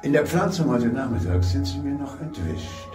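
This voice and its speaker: Radio thriller villain, played by a man: cold, smug menace, mid-pitched, music underneath. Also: big pitch swings, lightly acted. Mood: smug, menacing. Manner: menacing, taunting, deliberate. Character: cold sadistic killer, wealthy inventor's son. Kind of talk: German audio drama acting. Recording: roomy, slightly distant studio staging under music.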